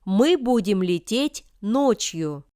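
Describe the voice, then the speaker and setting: A woman reading example sentences for language learners with careful, deliberate enunciation. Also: mid-range voice, lively intonation, dark tone, no room sound, clean studio sound. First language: Russian. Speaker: language lesson reader.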